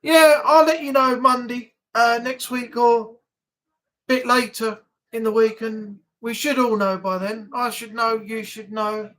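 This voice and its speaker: A woman's voice: fairly high pitch, fairly even intonation, a little slow, fairly bright tone, low-quality recording. A woman hosting a casual weekly livestream chat, talking to viewers in a chatty, rambling way. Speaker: livestream host.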